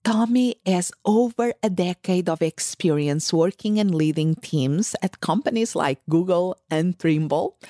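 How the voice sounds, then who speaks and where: A woman reading a scripted podcast introduction in a measured, formal way. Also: on the low side, dark tone, dry recording, studio quality. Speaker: announcer.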